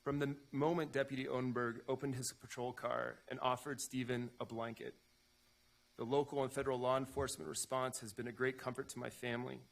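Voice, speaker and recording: Grieving brother, played by a man, staged reading; gravelly, even-pitched, solemn. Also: fairly quick, close to an everyday voice. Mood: solemn, grateful. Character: grieving brother reading a family impact statement in court. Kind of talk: staged reading of a prepared statement.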